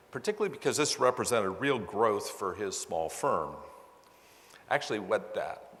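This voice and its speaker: A man with a very deep voice speaks in an echoey hall. He narrates as a presenter, laying out a case study in an explanatory style. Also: a bit bright.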